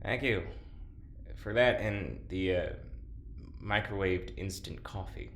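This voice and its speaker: A weary, wry patient talks haltingly in a somewhat low voice. It is naturalistic audio drama, with a clear room echo and faint background noise. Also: male voice, fairly bright tone, full character voice. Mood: hesitant, weary.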